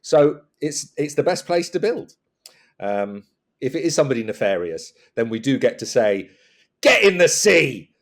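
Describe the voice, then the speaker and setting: A man's voice: mid-range voice, very animated, normal speed. A man riffing casually as a comedy podcast guest, wry and a little hesitant.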